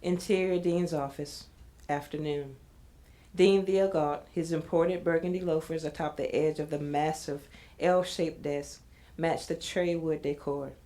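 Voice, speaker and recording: A woman narrates an audio drama from a script. Her voice is low and mellow, and she reads out scene headings and stage directions. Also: steady tone, unhurried.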